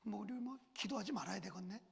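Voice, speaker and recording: A man's voice: sits high, quick pace, creaky, husky. A man preaching a sermon as senior pastor, speaking through a cold and a cough, asking the congregation questions as he goes. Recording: long church reverb.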